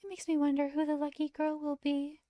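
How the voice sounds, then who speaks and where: A woman voicing a shy, polite young angel girl as a soft-spoken houseguest in a visual novel. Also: sits high, monotone, a little fast, dry recording, clean studio sound, voice pushed up, lightly acted. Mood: shyly apologetic. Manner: polite, demure, gentle. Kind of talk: visual novel dialogue read aloud.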